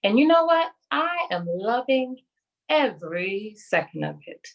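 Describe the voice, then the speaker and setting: A woman's voice: fairly high pitch, big pitch swings, measured pace, creaky, highs cut off, full character voice. A woman playing a giddy, gushing, dramatic character in a lockdown stage monologue, speaking emphatically. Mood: gushing, exasperated.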